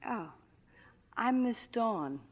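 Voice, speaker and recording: An actress playing a needy, chatty, tipsy gangster's moll who rambles and hesitates, in 1940s radio drama style. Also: female voice, a little high, expressive, very slow, full character voice. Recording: dull vintage 1940s radio recording.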